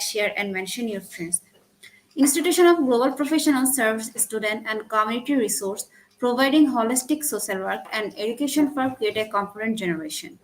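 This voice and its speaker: A woman from Bangladesh opens an online webinar as its host, speaking formally and stopping to restart her sentences. Her voice sits fairly high. A faint noise hums under the call. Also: rough recording. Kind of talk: formal scripted welcome.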